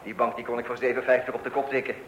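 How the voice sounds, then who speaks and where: An actor playing a cheerful, oblivious husband in a comic radio play, chatty and hesitant, repeating himself with self-satisfaction. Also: male voice, medium pitch, flat delivery, racing, a bit bright, lightly acted. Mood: self-satisfied, chatty. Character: cheerful, oblivious husband proud of his eccentric redecorating. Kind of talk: radio play comedy acting. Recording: roomy, noisy old radio play recording.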